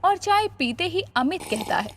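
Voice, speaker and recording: A woman's voice: very high voice, very animated, moderate pace. A woman narrating a scripted moral story as its storyteller.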